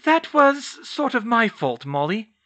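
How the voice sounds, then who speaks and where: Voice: mid-range voice, very animated, takes it slowly, fairly bright tone, voice pushed up. A narrator voicing an earnest, anxious teenage witch girl with an emphatic manner, in a fantasy audiobook.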